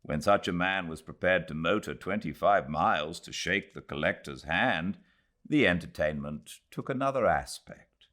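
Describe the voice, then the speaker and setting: A British man narrating a classic novel in a measured, expressive storytelling style. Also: rumbling low. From Britain. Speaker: audiobook narrator. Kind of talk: scripted literary narration, storytelling.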